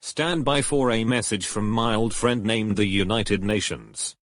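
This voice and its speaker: A man's voice: fairly low pitch, a little slow, very noisy. A synthetic-sounding man announcer reading a scripted emergency news bulletin formally.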